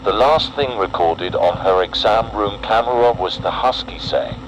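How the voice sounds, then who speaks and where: A man narrating a scripted horror emergency broadcast in a solemn, deliberate, ominous way. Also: fairly low pitch, slight background noise, rough recording.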